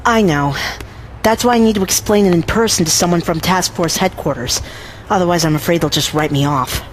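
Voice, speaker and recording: A woman's voice: on the low side, fairly quick, a little hiss or hum, natural voice, poor audio. A woman playing a serious, analytical young investigator in an anime dub, reasoning earnestly and carefully. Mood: guarded, earnest. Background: background music.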